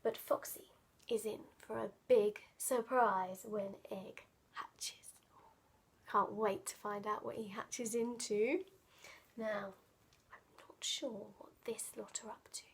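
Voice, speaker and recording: A woman reading a children's picture book aloud, dramatic and playful, often dropping into hushed asides. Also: on the high side, steady tone, slow, breathy edge. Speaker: children's storyteller.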